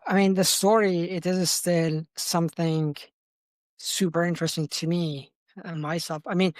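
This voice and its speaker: A man's voice: a little high, dry recording. Iranian-accented man, enthusiastic founder guest. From Iran. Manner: enthusiastic, frequent restarts and fillers. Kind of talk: podcast interview.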